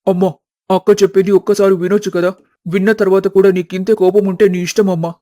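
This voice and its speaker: A man's voice: high-pitched, little pitch movement, fast, warm tone, dry recording, clean studio sound, close to an everyday voice. A man playing a repentant grown son in a family story, speaking earnestly and respectfully. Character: repentant grown son, devoted younger brother.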